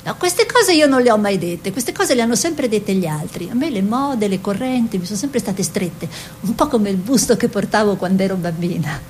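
A woman's voice: expressive, normal speed, lightly acted. An actress playing a spirited self-taught woman painter reminiscing, wry and conversational, in a theatrical radio style. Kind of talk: theatrical monologue and dialogue for radio. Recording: radio play with faint noise and rumble.

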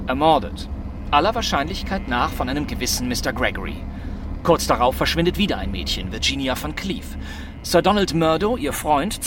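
A man acting a suave, self-assured gentleman detective in an old-fashioned radio crime play, with a measured, emphatic, theatrical delivery. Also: medium pitch, background noise, lightly acted.

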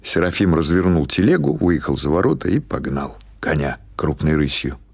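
A man reading literary prose as an audiobook narrator, in a measured, dramatic storytelling manner. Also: very deep voice, big pitch swings, creaky, over loud music.

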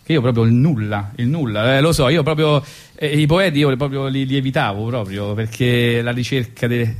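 A man's voice: medium pitch, normal speed. A man with a Roman accent, a radio host and comedian telling a rambling story with false starts and asides. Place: radio studio.

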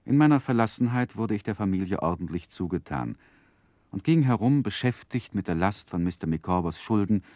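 A man's voice: on the low side, lively intonation, a little fast, a little mellow, no echo, close to an everyday voice. An actor playing a grown man who earnestly recalls his boyhood, narrating in a literary 1960s German radio play style. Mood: earnest, sorrowful.

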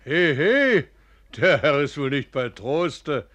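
Slow, jeering townsman. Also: on the high side, sing-song, fairly bright tone, heavily acted. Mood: mocking reproach. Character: scolding, mocking townsman, honest burgher passing by. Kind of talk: theatrical radio fairy-tale acting.

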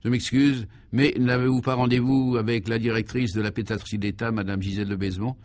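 A man's voice: medium pitch, level tone, brisk, a little mellow, no room sound, clean studio sound, natural voice. A man voicing a young, distinguished government attaché, formal, obliging and affected, in a comic radio serial.

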